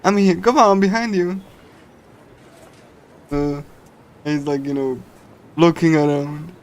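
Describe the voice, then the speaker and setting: A man reading a visual novel on a casual stream, halting and repeating himself, giggly as he comments. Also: on the high side. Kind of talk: casual game readthrough with translation and commentary. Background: game music.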